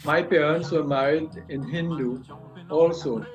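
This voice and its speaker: An older man with a Trinidadian accent, telling his life story in an interview, hesitant and halting. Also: fairly high pitch, steady tone, over music. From Trinidad and Tobago.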